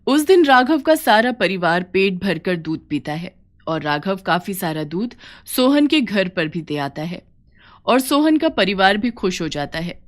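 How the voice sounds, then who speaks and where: A woman's voice: medium pitch, very animated, measured pace. A woman narrating a scripted folk tale for a cartoon, as a storyteller.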